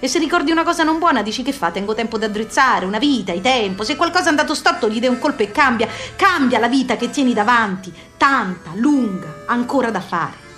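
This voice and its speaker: A woman's voice: on the high side, a bit bright. A woman playing a stubborn old southern Italian mother in a radio play, telling memories with emphatic repetition and dialect. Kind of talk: live radio theatre acting. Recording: live music behind the voice.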